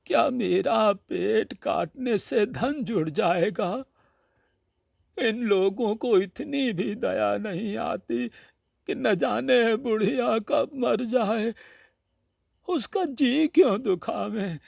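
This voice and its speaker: A man's voice: sits high, gravelly, no room sound, studio quality, voice pushed up, heavily acted. A man voicing a hungry, self-pitying blind old aunt in a Hindi storybook tale, with a quavering, plaintive manner. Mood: plaintive, aggrieved. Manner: slow, plaintive lamenting.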